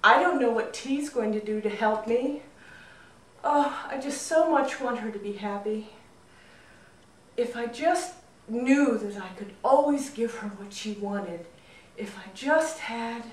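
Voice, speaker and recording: In an echoey, slightly distant recording, a woman acts out a lovesick young village husband from a folk tale. He is earnest, overwrought and pleading, speaking at a slow pace. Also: fairly high pitch, close to an everyday voice. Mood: wistful, frustrated. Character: anxious, lovesick young married village man. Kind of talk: storytelling. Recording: roomy echo, distant mic.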